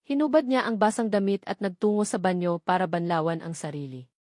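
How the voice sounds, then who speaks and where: A Filipino woman narrates a dramatic love story in audiobook style. Her voice sits at a medium pitch for a woman. The sound is dry, with no room echo, and the delivery is scripted storytelling. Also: fairly quick, darkish tone.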